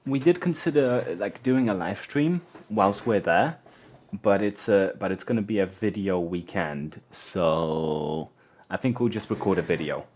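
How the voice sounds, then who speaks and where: A man's voice: lowish voice, unhurried, darkish tone, a little hiss or hum. A man, born in Poland and raised in England, chatting on a live stream while he takes apart an old camera. He hesitates, restarts his sentences and murmurs to himself as he works. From born in Poland, raised mostly in England. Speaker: electronics livestream host.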